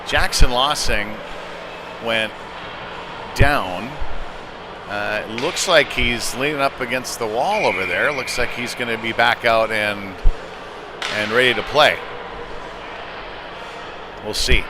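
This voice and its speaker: A man's voice: mid-range voice, slow, long pauses. A man doing informal, conversational live play-by-play commentary of a hockey game. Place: indoor community ice rink. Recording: noisy ice arena ambience.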